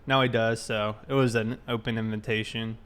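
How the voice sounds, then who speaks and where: A man's voice: on the low side, flat delivery, normal speed, fairly bright tone, slight background noise. A man hosting a casual game livestream, thinking aloud and talking informally to his viewers. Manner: informal, thinking aloud while reading chat. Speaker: live streamer, host.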